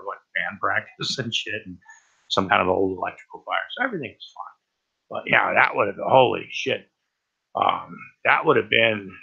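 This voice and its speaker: A man hosting a livestream with a raspy voice and a cold, rambling and swearing through casual talk about the news. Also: lowish voice, sharp tone, dull audio. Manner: profane and rambling, lots of "right?". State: has a cold. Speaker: livestream host.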